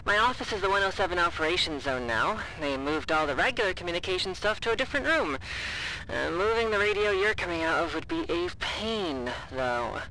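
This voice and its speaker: Bright, chatty young-sounding communications officer. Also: female voice, fairly low pitch, lively intonation, fairly quick, slight background noise, low-quality recording. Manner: casual, hesitant, joking. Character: chatty, caring communications officer, eager and deferential fan. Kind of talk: naturalistic audio drama dialogue.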